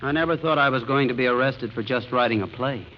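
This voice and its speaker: A man's voice: mid-range voice, fast talker, natural voice. A man playing a naive, eager small-town young playwright in a 1940s radio comedy, guileless and hesitant. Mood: sheepish. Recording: muffled noisy 1940s radio broadcast.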